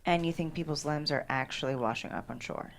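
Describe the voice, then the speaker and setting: A woman's voice: deep voice, brisk. A woman playing a skeptical, world-weary reporter, guarded and doubtful, in a script table read. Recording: faint background noise.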